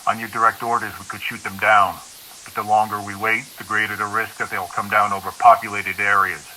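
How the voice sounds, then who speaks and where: General on radio: urgent, formal, clipped, piercingly forceful, light hiss. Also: male voice, fairly low pitch, little pitch movement, fairly quick, low-quality recording. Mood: grave, urgent. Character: grave, urgent American military general reporting. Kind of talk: audio drama acting. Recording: hissy radio transmission, faint noise.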